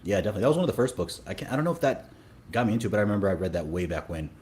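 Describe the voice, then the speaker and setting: A man's voice: fairly low pitch, big pitch swings, very fast, darkish tone, a little hiss or hum. A man from Sudan, a dating coach, talking casually in an interview with many fillers and restarts.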